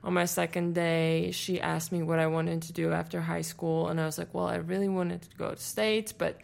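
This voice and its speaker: A woman's voice: on the low side, little pitch movement, normal speed, gravelly, darkish tone. A young woman from Latvia with a Latvian accent, chatting as an interview guest and telling her story with many fillers. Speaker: guest, showroom agency owner. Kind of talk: casual interview chat.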